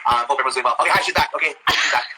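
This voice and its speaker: A man's voice: medium pitch, rapid-fire, heavy background noise. An American man giving casual, encouraging cooking instruction as a chef, often repeating himself, over a live video call.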